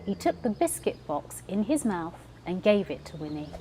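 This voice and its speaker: A woman's voice: fairly low pitch, sing-song, normal speed, dark tone, a little hiss or hum. A woman professional storyteller narrating a children's story expressively, leaning into the sound words. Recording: music behind the voice. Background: music.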